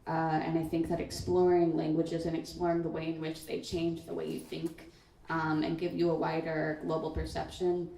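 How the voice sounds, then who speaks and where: Reflective young woman, gravelly, echoey hall. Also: low-pitched, monotone, moderate pace, warm tone. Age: teens. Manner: thoughtful, many "um" and "like" fillers. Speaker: student writer on a panel. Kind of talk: reflective panel answer. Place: school event hall. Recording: echoey room, far from mic.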